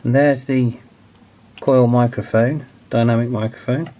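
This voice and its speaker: A man's voice: mid-range voice, steady tone, a little slow, mellow, a little hiss or hum. A man in his forties narrating a hands-on repair casually, hesitant and thinking aloud as he goes. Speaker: video host, radio restoration hobbyist.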